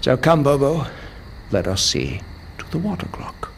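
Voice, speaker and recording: A man's voice: medium pitch, wildly swooping pitch, unhurried, creaky, background noise, exaggerated voice. A man playing a refined, scheming crime lord in a comic audio drama, suave, grandiose and menacing. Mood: suave menace. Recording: music under the voice.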